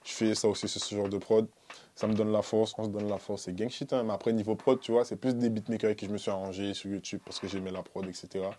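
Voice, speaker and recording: Low-voiced young man, casual and slangy. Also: flat delivery, fast talker, slight background noise. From French Antilles (born in Guadeloupe, lived in Martinique and French Guiana). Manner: slangy, informal, peppered with "tu vois" and shout-outs. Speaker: recording artist being interviewed. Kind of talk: casual interview talk to camera. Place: small dark recording studio, indoors.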